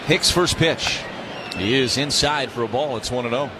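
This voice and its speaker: A man's voice: medium pitch, moderate pace, background noise. A man doing live radio play-by-play commentary as a baseball announcer.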